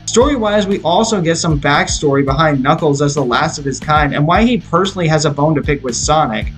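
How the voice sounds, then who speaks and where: A man's voice: a little high, expressive, fairly quick. A man giving a scripted movie review as narration, explaining his opinions. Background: music.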